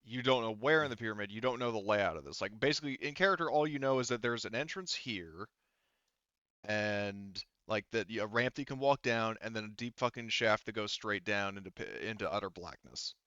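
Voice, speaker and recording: A man running a tabletop game as game master, narrating casually in a halting, rambling way full of filler phrases. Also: lowish voice, bright, forceful tone, no echo, highs cut off. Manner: halting, rambling, frequent "like" and "you know"; casual swearing.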